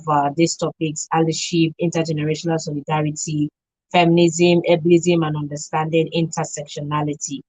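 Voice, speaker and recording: Female Nigerian radio host, slightly low pitch, dry studio sound, live presenting. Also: dry recording, low-quality recording.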